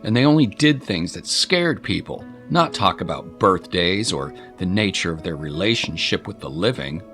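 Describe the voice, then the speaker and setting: Low-voiced male story narrator. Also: lively intonation, moderate pace, fairly bright tone, soft background music. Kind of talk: scripted dramatic story narration.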